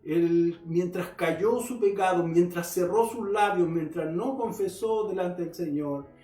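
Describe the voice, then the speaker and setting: A man's voice: high voice, unhurried, mellow, distant mic, faint music behind. A male pastor preaching a sermon, earnestly addressing his listeners and reading scripture aloud. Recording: roomy echo.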